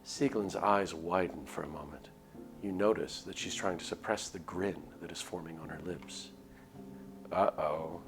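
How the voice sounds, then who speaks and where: Low-voiced man narrating over music. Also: normal speed. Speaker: streamer reading a visual novel aloud. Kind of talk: dramatic storybook narration with casual asides. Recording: music under the voice. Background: game background music.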